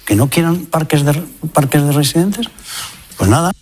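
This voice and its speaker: A man from talking casually in an animated way. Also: a little high, moderate pace, a little hiss or hum.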